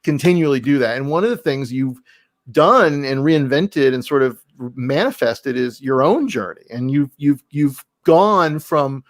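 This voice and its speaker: A man hosting a casual podcast interview, talking in a halting way with repeats and rephrasing. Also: mid-range voice, lively intonation, dry recording, studio quality. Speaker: podcast host.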